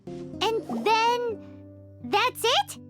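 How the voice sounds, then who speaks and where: A woman voicing a tiny, excitable, cheeky fairy companion girl in an anime video game, with a squeaky childlike voice and exclamatory way of talking. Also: extremely slow, music in the background, heavily acted. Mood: amazed, curious. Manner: exclamatory, refers to herself in third person.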